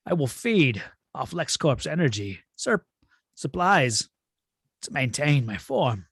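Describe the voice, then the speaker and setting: A man playing a cold, imperious alien android villain in a script read-through, speaking in a husky, grandiose, deliberate way. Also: mid-range voice, big pitch swings, fairly bright tone, no echo, clean studio sound, exaggerated voice. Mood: cold, commanding. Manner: slow, grandiose, deliberate delivery. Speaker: table-read performer.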